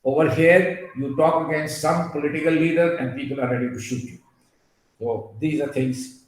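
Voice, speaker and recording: A man giving an earnest, emphatic webinar talk, drifting into asides and filling pauses with "uh". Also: on the high side. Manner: emphatic, digressive, with frequent fillers. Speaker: guest speaker on a webinar. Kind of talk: earnest lecture with personal asides. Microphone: video call. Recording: very echoey room over a call.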